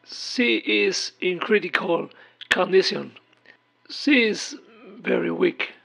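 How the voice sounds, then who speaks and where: A man voicing a solemn hospital caller in a horror audio drama, husky and creaky, measured and formal. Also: high-pitched, fairly bright tone, exaggerated voice. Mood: grave, formal. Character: solemn hospital caller delivering urgent, grave news.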